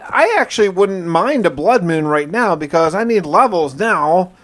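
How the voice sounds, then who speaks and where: A man's voice: on the high side, fairly bright tone. A man narrating a survival game playthrough in a conversational, first-person storytelling way, as a roleplaying video host. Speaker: gaming video host and player. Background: music.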